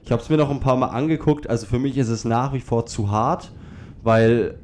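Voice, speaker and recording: Male podcast co-host, medium-pitched voice, colloquial and opinionated in casual conversation. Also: steady tone, normal speed, a little mellow, a little hiss or hum. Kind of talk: casual chat.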